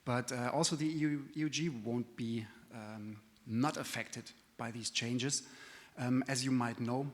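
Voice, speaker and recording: A man's voice: mid-range voice, a little slow. A man who is not a native English speaker gives a friendly, self-deprecating conference presentation, with frequent fillers and restarts. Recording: reverberant conference hall.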